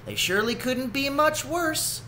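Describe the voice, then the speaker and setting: A man's voice: very high voice, lively intonation, a little slow, bright, forceful tone, a little hiss or hum, pitched above the performer's own voice, full character voice. A man voicing a determined, hopeful otter mother from a storybook, with a homespun, plucky manner. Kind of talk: storybook character reading.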